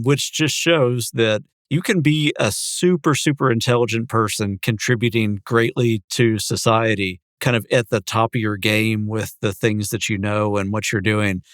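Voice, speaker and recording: A man's voice: mid-range voice, no echo, studio quality. A man co-hosting a podcast, talking in a casual, conversational style with his partner. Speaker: podcast co-host.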